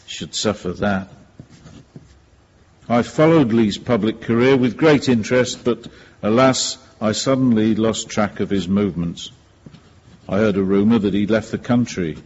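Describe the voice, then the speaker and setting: Solemn ex-hangman, husky and low, formal letter reading for radio drama, long muffled reverb. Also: darkish tone. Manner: slow, formal, measured. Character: haunted former hangman reading his letter, solemn and regretful. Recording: reverberant hall, dull muffled sound.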